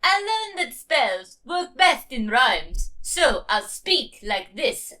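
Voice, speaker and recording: Woman voicing a bright, high-pitched earnest young zebra. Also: sing-song, heavily acted. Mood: proud. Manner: speaks in deliberate rhymes. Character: earnest, stubborn young female zebra obsessed with magic. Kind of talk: scripted cartoon fan voice acting.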